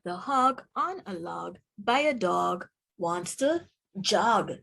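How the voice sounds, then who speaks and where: A woman reading aloud to young children as a teacher, pronouncing words deliberately one by one and putting questions to the listener. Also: medium pitch, animated, creaky, fairly bright tone, husky. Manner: deliberate word-by-word enunciation, asks the listener questions. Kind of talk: read-aloud phonics lesson for children.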